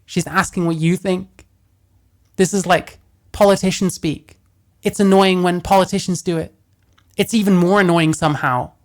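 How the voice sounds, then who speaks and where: A man with a deeper voice giving casual reaction commentary, blunt and profane as he rants. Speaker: commentary host.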